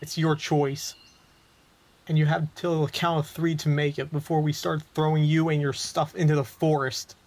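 A man's voice: fairly high pitch, flat delivery, moderate pace, darkish tone, lightly acted. A man playing a hostile, unyielding townsman in a fantasy roleplay audio drama, blunt and threatening.

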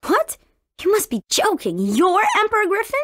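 A woman's voice: very high-pitched, wildly swooping pitch, moderate pace, no room sound, clean studio sound, heavily acted. A woman playing an earnest, spirited young heroine in a video game, with a forthright manner. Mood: disbelieving.

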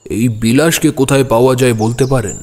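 An actor playing an astute, self-assured schoolteacher detective in an audio drama, brisk and authoritative. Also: male voice, medium pitch, steady tone, a little mellow, slight background noise, close to an everyday voice. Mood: brisk, commanding. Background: music.

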